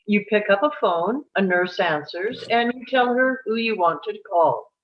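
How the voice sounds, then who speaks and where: A calm ward nurse played by a woman at a table read with a medium-pitched voice. She explains things in a measured, matter-of-fact way. The sound is a little dull and lo-fi. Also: slight background noise, close to an everyday voice. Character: calm, matter-of-fact psychiatric nurse showing a newcomer around.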